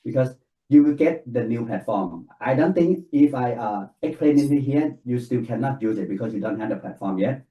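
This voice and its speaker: A man's voice: mid-range voice, expressive, moderate pace, echoey room, phone-quality sound. A man leading a casual work meeting, explaining technical steps one at a time in non-native English. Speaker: technical consultant presenting to a client team.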